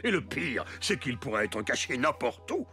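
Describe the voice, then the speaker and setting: A man's voice: mid-range voice, very animated, moderate pace, music in the background, close to an everyday voice. A man voicing a jittery, good-natured donut baker in a children's cartoon, exclamatory and comically polite. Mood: explaining, worried. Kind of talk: animated children's cartoon dub.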